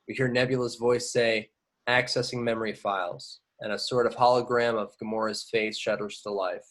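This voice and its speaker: A man's voice: lowish voice, flat delivery, normal speed, fairly bright tone. A man reading scripted action descriptions as narrator of an amateur table read. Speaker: reader of stage directions.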